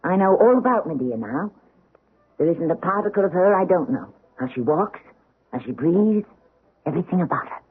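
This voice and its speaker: A woman's voice: fairly low pitch, lively intonation, normal speed, husky. A woman playing a troubled stage actress and dutiful daughter in a 1970s radio drama, speaking earnestly and theatrically. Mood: calm, persuasive. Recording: muffled old radio broadcast.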